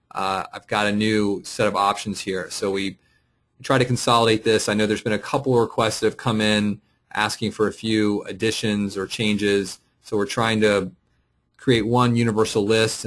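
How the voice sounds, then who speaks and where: A man presenting a casual, unscripted software tutorial, explaining and thinking aloud as he clicks through. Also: on the low side. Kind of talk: casual screen-share walkthrough, unscripted. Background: voice-over for a screen recording.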